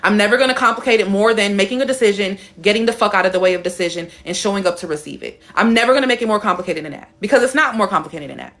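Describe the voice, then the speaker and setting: A woman's voice: racing, few pauses, a bit bright. Blunt, playful woman live-streaming. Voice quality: playful, shifts her voice between high and deep. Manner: blunt, emphatic and swearing, reading out chat comments.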